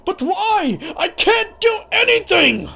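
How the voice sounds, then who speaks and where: Confused, earnest young colt, played by an actor, halting and unhurried, expressive swoops, fan cartoon dub. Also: very high-pitched, vocal fry, exaggerated voice. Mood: upset realization. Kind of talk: fan comic dub acting.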